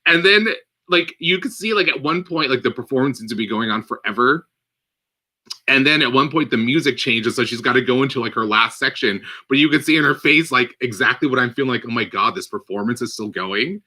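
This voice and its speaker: A man co-hosting a casual movie podcast, telling stories in an animated way with lots of filler words. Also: mid-range voice, a little fast. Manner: animated storytelling with many "like" fillers. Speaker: podcast co-host. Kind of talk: casual chat.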